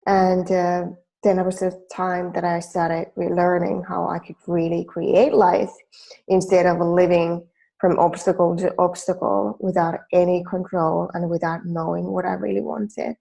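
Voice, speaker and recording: A woman's voice: on the low side, flat delivery, unhurried, gravelly, mellow. A woman from Finland in her forties with a Finnish accent, giving a self-help training talk as a life coach, earnest and full of fillers and restarts.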